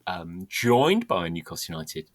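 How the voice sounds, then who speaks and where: A man hosting a football podcast who speaks haltingly, stopping and restarting his sentences. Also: low-pitched, big pitch swings, dry recording. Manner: halting, stops and restarts often. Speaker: podcast host. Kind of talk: casual podcast chat.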